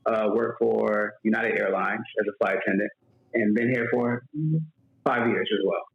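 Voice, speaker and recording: A man from New York, a guest talking about his flight attendant job in a relaxed, plain-spoken way. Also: fairly low pitch, moderate pace, vocal fry. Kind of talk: casual interview talk. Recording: echoey room, dull lo-fi audio.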